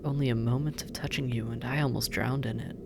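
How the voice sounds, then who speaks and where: A performer in a roleplay audio drama voicing a sardonic, teasing demon captor who drawls and murmurs with heavy pauses. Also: mid-range voice, fairly even intonation, creaky, background noise, close to an everyday voice. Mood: shaken, demanding. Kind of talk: scripted roleplay audio drama, intimate second-person address.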